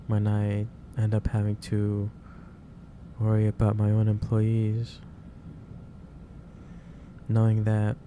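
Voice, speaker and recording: A man playing a gentle, halting, monotone boss. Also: on the low side, a little hiss or hum. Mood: gently worried. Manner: hesitant, halting, repeats phrases. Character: gentle, caring male office boss, worried and lecturing. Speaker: roleplay performer. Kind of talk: ASMR roleplay, soft-spoken monologue.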